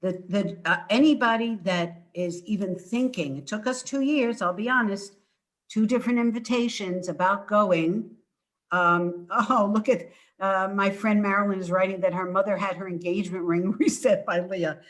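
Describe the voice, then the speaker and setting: An older woman giving an informal book talk over a video call from home, halting and emphatic as she speaks. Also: medium pitch. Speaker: author presenting her book. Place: indoors at home.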